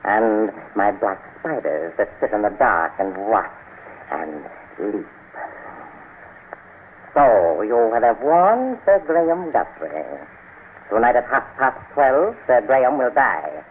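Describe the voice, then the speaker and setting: An actor playing a gloating, cultured criminal mastermind with slow, mock-courteous menace in an old radio serial. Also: male voice, lowish voice, big pitch swings. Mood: ominous threat. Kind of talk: 1930s-40s radio serial acting. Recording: tinny, noisy old radio broadcast.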